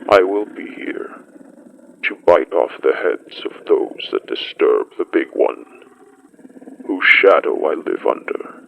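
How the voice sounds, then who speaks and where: Old monster spirit, super deep breathy growl, solemn, audio drama, muffled noisy sound. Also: big pitch swings, exaggerated voice. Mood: solemn, ominous. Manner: slow, archaic, deliberate phrasing. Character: ancient solemn menacing predator spirit beast.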